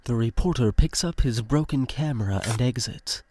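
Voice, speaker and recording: A man's voice: medium pitch, moderate pace, heavy background noise. A man reading scripted stage directions as the narrator of an audio drama. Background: music.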